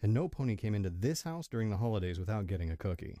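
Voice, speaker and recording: A man's voice: lowish voice, very animated, very fast, mellow, no room sound, clean studio sound. A man playing a kindly middle-aged stallion father in an amateur audio drama, folksy and affectionate. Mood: cheerful.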